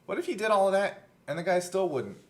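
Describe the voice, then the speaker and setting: A man chatting casually as a game master looking back on a show, telling it in an animated way and acting out reactions. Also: sits high, fast. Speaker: game master recalling a show's first season. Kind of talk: casual behind-the-scenes chat.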